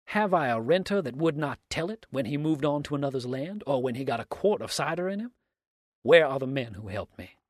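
A man's voice: mid-range voice, lively intonation, normal speed, darkish tone, no echo, studio quality, natural voice. A man voicing a defiant, sarcastic murder suspect in a storybook, with mocking, theatrical exclamations. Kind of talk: dramatic storybook reading.

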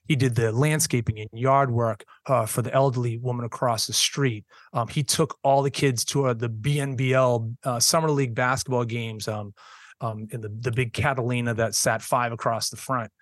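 A man's voice: mid-range voice, fairly even intonation, normal speed, no echo. Middle-aged guy, teacher on a podcast, earnest, lots of ums and restarts, dry close sound. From Boston, USA. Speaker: public school teacher, podcast guest. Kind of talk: conversational storytelling interview.

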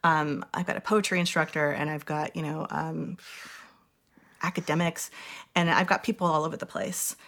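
A woman playwright in casual conversation, talking thoughtfully and pausing often with fillers as she searches for words. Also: low-pitched, steady tone, a bit bright.

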